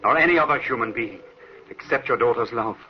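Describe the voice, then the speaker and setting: An actor playing a solemn young spectre bridegroom, formal and ominous, in an old radio horror drama. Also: male voice, medium pitch, brisk, natural voice. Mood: solemn, fatalistic. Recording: muffled old radio with clear hiss.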